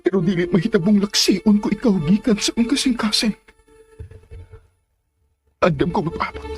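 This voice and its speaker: Man acting a high-voiced, pleading radio-drama lover. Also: darkish tone, lightly acted. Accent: Filipino, Cebuano. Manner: pleading, melodramatic. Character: ardent, insistent man pleading with his lost love. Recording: music under the dialogue.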